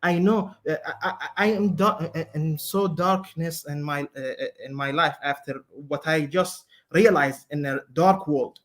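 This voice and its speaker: A man calling in to a debate, speaking in halting, broken English full of fillers. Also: fairly high pitch, fairly bright tone. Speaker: caller. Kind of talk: hesitant debate talk.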